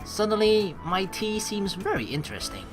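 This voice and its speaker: A woman's voice: mid-range voice, big pitch swings, moderate pace. A woman doing casual let's play commentary, rambling as she thinks aloud and reading game text, with some casual swearing. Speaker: let's player. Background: game music.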